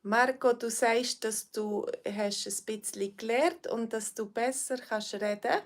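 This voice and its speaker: A woman's voice: on the high side, a little slow. Swiss woman teaching on a livestream. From Switzerland. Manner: friendly, hesitant with frequent fillers, addresses viewers by name. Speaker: language teacher. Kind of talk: livestream Q&A with viewers.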